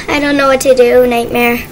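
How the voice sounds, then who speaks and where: A woman chatting casually and improvising role-play while gaming, excitable and bossy. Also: high voice, moderate pace. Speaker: gaming video player and role-play partner. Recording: noisy game-play voice recording.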